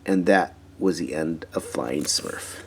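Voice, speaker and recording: A man reading a children's storybook aloud in an expressive, emphatic way. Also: extremely low pitch, slow, background noise. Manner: animated, emphatic, dramatizing story moments. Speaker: storybook reader.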